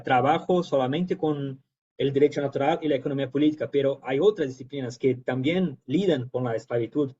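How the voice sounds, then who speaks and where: Halting Brazilian-accented man lecturing. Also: mid-range voice, a little mellow, lo-fi sound. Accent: Brazilian Portuguese. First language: Portuguese. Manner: hesitant, many fillers and restarts. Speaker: guest lecturer. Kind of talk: academic presentation.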